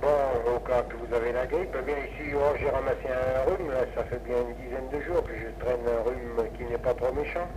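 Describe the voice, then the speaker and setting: A man's voice: medium pitch, fairly even intonation, moderate pace, hardly any pauses. A man, chatting casually and hesitantly as an amateur radio operator over a radio transmission, rambling along. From France. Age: 50s. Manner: rambling, hesitant, chuckling asides. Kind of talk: casual ham radio contact. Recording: noisy, muffled 1960s amateur radio recording.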